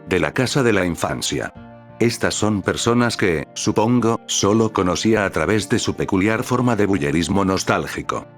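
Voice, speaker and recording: A man with a very low, deep voice tells an eerie story in a calm, measured way. Soft music plays quietly behind him. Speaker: narrator. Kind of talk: scripted narration of a creepy story.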